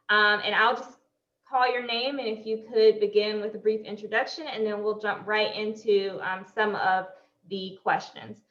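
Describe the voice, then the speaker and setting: A woman's voice: a little high, a bit bright, reverberant, lo-fi sound. A woman moderating an online panel discussion, introducing speakers and explaining procedure.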